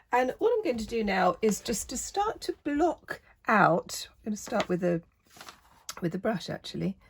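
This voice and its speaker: Lady with a croaky gravelly voice, chatty art tutor, keeps correcting herself. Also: mid-range voice. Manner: chatty, self-correcting, gently encouraging. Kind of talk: relaxed painting tutorial.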